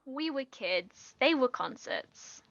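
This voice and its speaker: An actress playing an earnest, guilt-ridden young woman, halting and pleading, in a naturalistic stage drama. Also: high voice, expressive, vocal fry, a bit bright, no echo, highs cut off, heavily acted. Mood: tender, nervous.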